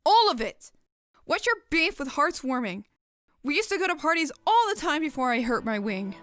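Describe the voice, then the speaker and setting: A woman's voice: high voice, big pitch swings, normal speed, fairly bright tone, no echo, clean studio sound. A woman playing a headstrong, sulky young pegasus daughter in a fantasy audio drama. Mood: frustrated. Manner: petulant, grumbling.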